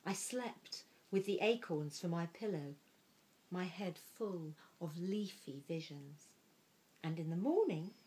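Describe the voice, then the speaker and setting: A woman storyteller narrates a picture book at a slow pace in a mid-pitched voice. Her delivery is expressive and deliberate, with some phrase endings softened into a hush. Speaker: storyteller reading aloud.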